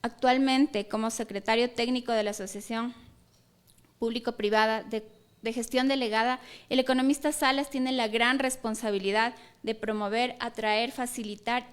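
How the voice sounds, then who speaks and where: A woman's voice: fairly high pitch, clear, resonant tone. A woman hosting the event, reading a formal introduction of the guest speaker. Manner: formal and declamatory. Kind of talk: scripted introduction.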